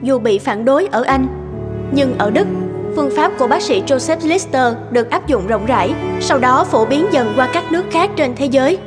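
A woman's voice: high voice, animated, moderate pace, music in the background. A woman narrating a scripted true story in a documentary style.

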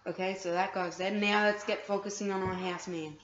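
A woman's voice: medium pitch, fairly even intonation, fairly quick. A woman chatting while playing a game with a friend, hesitant with many ums and a bit bossy, recorded in a room off a TV. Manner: hesitant, lots of ums, bossy with her co-player. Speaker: gamer. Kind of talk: casual gaming chat. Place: room filmed off a TV screen. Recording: echoey lo-fi room recording with noise.

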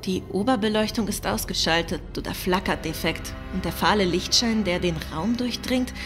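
Woman as female starship officer for sci-fi radio play, mid pitch, observant, music behind. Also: moderate pace, natural voice. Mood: uneasy, attentive. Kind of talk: audio drama acting in a sci-fi thriller.

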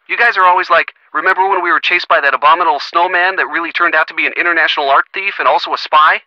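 An earnest young American boyfriend for a video game. His youthful voice sits a little high and is very bright, with a conversational, wry delivery. The sound is close and dry. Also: no room sound, highs cut off. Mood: wry, self-deprecating. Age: young adult. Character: earnest young boyfriend of a sleuth, gently sardonic. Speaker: voice actor. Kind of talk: video game phone-call dialogue.

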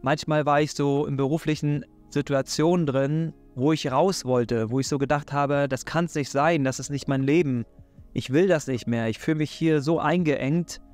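A man's voice: on the high side, steady tone, moderate pace, darkish tone, soft background music. A man in his thirties from Hamburg with a Hamburg German accent, giving an earnest, encouraging faith talk straight to camera in a living room. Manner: earnest, encouraging, direct address. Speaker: faith and self-help YouTuber. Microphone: desk microphone in front.

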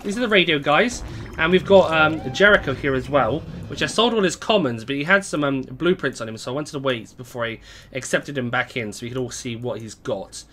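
A young man with a hoarse, fading voice, chatting casually to viewers as he live-streams a game at home on a desk mic. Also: mid-range voice, lively intonation, brisk, pushed and bright. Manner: chatty, greets viewers, reads chat aloud. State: hoarse, voice going.

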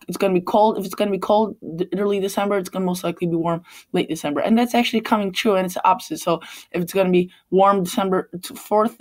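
A man with a Polish accent hosting a weather channel, talking loosely over forecast maps and often stopping to correct himself. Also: high voice. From Poland. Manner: rambling, frequent restarts and self-corrections. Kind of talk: casual forecast over screen-shared maps. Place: Chicago.

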